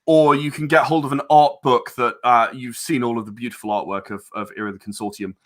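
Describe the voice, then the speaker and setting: Man, bright crisp tone, medium pitch, hesitant, earnest, podcast guest chat. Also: fairly even intonation, brisk. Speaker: interview guest, game publisher and audio drama creator. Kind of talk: casual interview chat.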